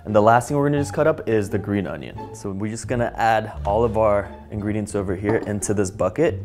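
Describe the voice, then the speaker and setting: A young man with an American accent talks through a cooking demonstration in a relaxed, friendly way. His voice sits on the low side. Light guitar music plays faintly behind him. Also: fast talker. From Los Angeles. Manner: relaxed, friendly explaining while chopping. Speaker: kimchi workshop teacher. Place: small home kitchen.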